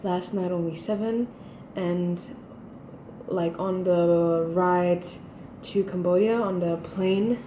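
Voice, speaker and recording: A jet-lagged young woman from Germany chats to her phone camera in a fairly low voice, wandering through her thoughts with fillers and restarts. A faint hiss of background noise sits under her words. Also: fairly even intonation, slow pace, dark tone. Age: young adult. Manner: chatty, rambling with fillers. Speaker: vlogger. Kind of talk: casual video diary.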